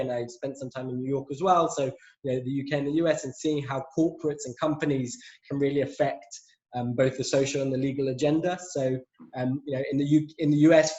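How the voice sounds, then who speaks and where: A man's voice: medium pitch, a little mellow, reverberant, dull audio. A man guest in a conversational interview, talking fluently with many fillers and restarts. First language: English. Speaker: interview guest, LGBT tech group director and lawyer.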